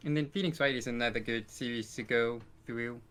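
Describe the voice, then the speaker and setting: A man's voice: medium pitch, a little fast, a bit bright, clear, resonant tone. A man chatting casually as a guest on a podcast. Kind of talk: casual chat.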